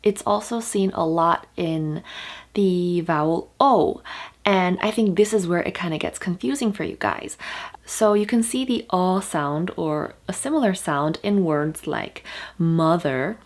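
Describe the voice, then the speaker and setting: A woman's voice: fairly low pitch, unhurried. Woman teaching pronunciation to camera. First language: Korean. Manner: explains patiently, with many fillers and restarts; draws out vowel sounds as demonstrations. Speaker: Korean language teacher. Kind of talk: casual pronunciation lesson to camera.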